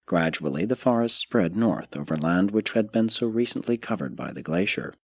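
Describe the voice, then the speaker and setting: A man reading a scripted audiobook narration in a measured, formal way with clearly phrased sentences. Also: low voice, animated, dry recording. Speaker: audiobook reader.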